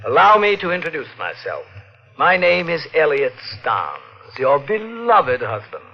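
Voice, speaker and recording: A man's voice: fairly high pitch, wildly swooping pitch, measured pace, full character voice. A man playing a sly, mocking husband returned from the dead, menacing in a 1950s radio drama. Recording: dull old 1950s radio broadcast.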